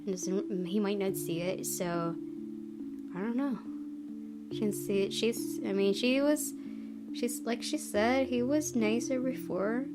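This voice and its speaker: A woman's voice: mid-range voice, measured pace. A woman hosting a gaming video, chatting and reading aloud in a reactive way. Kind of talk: playthrough commentary and reading aloud. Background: game music.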